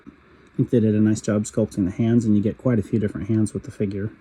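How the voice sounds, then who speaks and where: Husky man with a cold, very dark voice. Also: fairly low pitch, fast talker, low-quality recording. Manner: steady, matter-of-fact commentary. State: raspy from a cold. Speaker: toy reviewer. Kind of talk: calm voice-over product review.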